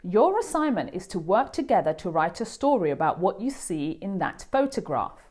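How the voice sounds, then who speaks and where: A woman voicing a composed female schoolteacher from a picture book, giving instructions in a measured way. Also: lowish voice, big pitch swings, darkish tone. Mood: encouraging.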